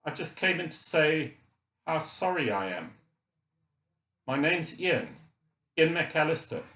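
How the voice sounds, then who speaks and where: A man's voice: on the high side, very animated, slow pace, pushed and bright, echoey room, pitched above the performer's own voice, exaggerated voice. A man voicing a guilt-ridden middle-aged Scottish father in an audiobook, earnest and apologetic, with an unsteady voice. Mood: remorseful, upset. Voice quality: wobbly, unsteady. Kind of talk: audiobook character dialogue.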